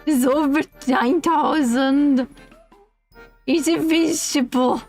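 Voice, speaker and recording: A woman's voice: sits high, steady tone, slow, darkish tone, faint music behind. A woman streamer reading a comic list aloud on a livestream, giggly and often breaking off into casual asides.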